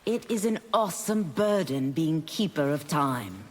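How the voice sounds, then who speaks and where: A woman's voice: low-pitched, expressive, unhurried, big-room sound, very noisy. A woman voicing an earnest, resolute demon warrior seeking redemption in a video game, with formal speech. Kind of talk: video game fight-intro dialogue.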